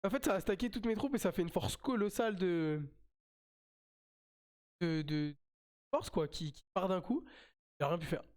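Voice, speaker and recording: A man's voice: sits high, a little mellow, clean tone. A man with a French accent and a slight cold, commentating his own mobile game live as a streamer, chatty and repeating himself as he plays.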